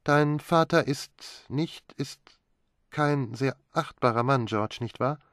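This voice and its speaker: A man voicing a flippant, restless young woman who talks with quick, mocking sharpness in an audiobook. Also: medium pitch, no room sound, studio quality, voice pushed up. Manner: teasing, quick and sharp-tongued. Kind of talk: audiobook character voice.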